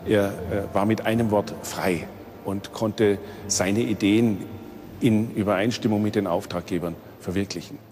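A man explaining as an expert in an unscripted documentary interview, with hesitant, measured delivery. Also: on the low side, fairly even intonation, a little mellow. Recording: long hall-like reverb.

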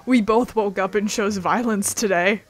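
A woman's voice: mid-range voice, normal speed. A woman giving casual, sarcastic, sweary commentary as she plays a game. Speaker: gaming streamer.

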